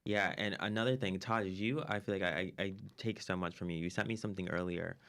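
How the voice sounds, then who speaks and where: A man's voice: low-pitched, fairly even intonation, fairly quick, fairly bright tone. A man hosting a podcast, talking in an upbeat, easygoing way. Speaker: podcast host. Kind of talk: casual chat over cocktails.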